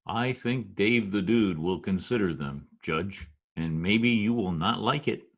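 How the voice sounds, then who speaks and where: An actor playing a wry, deadpan Broadway go-between who also narrates, in old-time radio comedy style. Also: male voice, fairly low pitch, measured pace, highs cut off. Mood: wry, persuasive.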